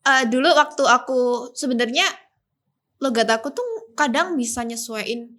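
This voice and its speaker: A woman in her twenties from East Java, chatting casually as a student podcast co-host, agreeable and quick to affirm, talking to the listeners. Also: high voice, sharp tone. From Bojonegoro, East Java, Indonesia. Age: 20s. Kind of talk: casual chat.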